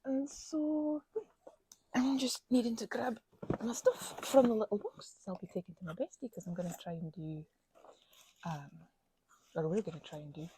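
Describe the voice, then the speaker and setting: A woman in a rambling, soft-spoken ASMR craft chat, talking in a playful put-on Scottish accent and drifting between quiet talk and whispers. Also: mid-range voice, slightly rough, low-quality recording.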